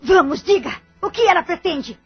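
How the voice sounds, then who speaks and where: A high female voice over music in a slightly dull recording. An actress plays a wronged Brazilian wife in a radio drama, anxious and determined, pressing for answers in formal, insistent phrases. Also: animated, moderate pace. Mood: insistent, accusing. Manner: insistent, interrogating, formal diction. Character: betrayed, determined wife and mother, anxious and demanding. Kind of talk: audio drama acting in formal dialogue.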